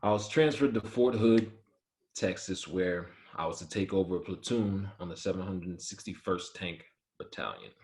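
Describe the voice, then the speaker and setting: Man reading a low-voiced, earnest young soldier. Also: expressive, moderate pace, a little mellow. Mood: reflective, earnest. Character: earnest, principled young male Army soldier, quietly firm. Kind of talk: screenplay table read, first-person narration and dialogue.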